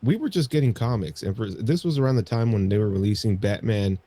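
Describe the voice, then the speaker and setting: A man's voice: lowish voice, quick pace, mellow, dry recording. A man co-hosting a podcast, telling anecdotes in a casual, rambling way and often repeating his phrases. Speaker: podcast co-host.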